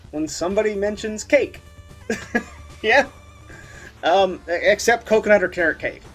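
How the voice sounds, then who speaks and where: A man hosting a casual reaction commentary, giggly and loosely profane in the way he talks. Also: high voice. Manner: giggly, often laughing as he talks. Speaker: host of a meme review channel.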